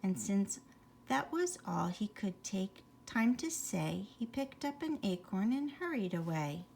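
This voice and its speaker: Slow-paced woman reading a bedtime story. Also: medium pitch, vocal fry, a little hiss or hum. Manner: expressive, chatty asides to young listeners. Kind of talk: read-aloud rhyming children's bedtime story with asides.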